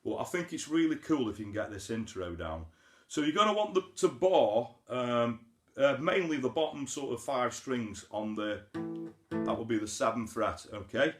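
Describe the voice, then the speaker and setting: A man hosts a casual guitar tutorial in an average-pitched voice. He chats informally and explains step by step. The room rings around him, and guitar music sits behind his words. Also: expressive, moderate pace, a bit bright. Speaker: guitar teacher, host of an online lesson series. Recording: roomy sound, guitar behind the voice. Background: acoustic guitar.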